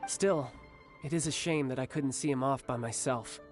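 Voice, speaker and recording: A man voicing a worn-out young warrior who talks in a soft, weary, wry way, for a video game. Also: medium pitch, normal speed, soft background music, close to an everyday voice. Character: young male warrior, weary and weakened, confident.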